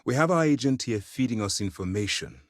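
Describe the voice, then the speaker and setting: A man voicing a stern, principled military commander in a video game, speaking formally and with deliberate authority. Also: medium pitch, lively intonation, no room sound, studio quality, natural voice. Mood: briefing, firm. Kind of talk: scripted video game dialogue.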